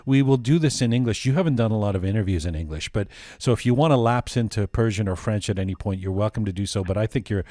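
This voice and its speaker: A man's voice: on the low side, quick pace, few pauses, dark tone, no echo. A man hosting a podcast, reading a scripted introduction and then asking playful, teasing follow-up questions. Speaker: podcast host.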